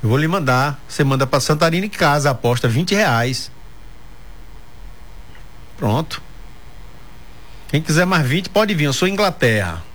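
A man's voice: on the high side, animated, unhurried, pauses often, fairly bright tone. A man hosting a casual radio talk show, joking with his co-host and reading news items aloud.

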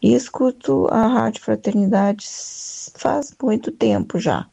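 A woman's voice: on the high side, slow, gravelly, mellow, husky. A woman from Brazil, leaving a grateful, earnest voice message for a radio station. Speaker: radio listener. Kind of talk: recorded voice message.

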